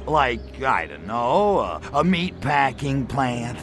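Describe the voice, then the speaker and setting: A man's voice: mid-range voice, very animated, slow pace, over music. A man playing a cocky, slangy, wisecracking alien invader in a video game cutscene. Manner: brash, slangy wise guy.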